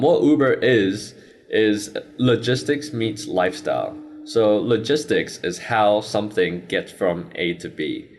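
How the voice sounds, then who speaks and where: Low-voiced young man, hesitant interview guest. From born in China, early childhood in Hong Kong, then US. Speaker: interview guest, business expansion manager. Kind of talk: conversational interview answers. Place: sit-down interview in Shenzhen.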